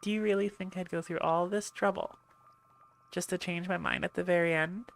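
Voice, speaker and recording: Woman acting a creaky-voiced, chatty sci-fi ship medic. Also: on the low side, steady tone, normal speed. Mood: warm, encouraging. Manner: chatty, playful, reassuring. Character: chatty, teasing, reassuring female medic aboard an alien warship. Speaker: audio roleplay voice actor. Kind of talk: ASMR roleplay, one-sided conversation.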